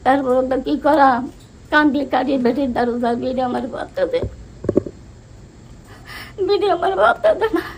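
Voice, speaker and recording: A woman's voice: high voice, animated, moderate pace, long pauses, a little hiss or hum, rough recording. An older woman with a rural Bangladeshi dialect, telling her own story in a plaintive, colloquial way. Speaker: interviewed mother. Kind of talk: unscripted personal storytelling.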